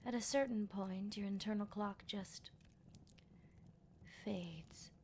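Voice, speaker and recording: A woman playing a teasing, sardonic female vampire captor in an ASMR roleplay, speaking in an unhurried, breathy half-whisper. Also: medium pitch, a little mellow, a little hiss or hum, lightly acted. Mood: musing, sardonic. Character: playful, menacing female vampire captor, decades old.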